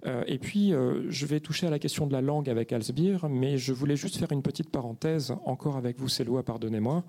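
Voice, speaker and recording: A man moderating a literary panel, working his way through long questions with plenty of hesitation. His voice sits at an ordinary pitch and his delivery stays measured. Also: dark tone. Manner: measured, hesitant with many fillers. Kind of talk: panel discussion.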